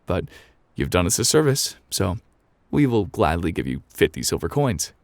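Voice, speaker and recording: A man voicing a shrewd, assured storybook mayor who speaks in a firm, calm, declarative way. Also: deep voice, moderate pace, no room sound.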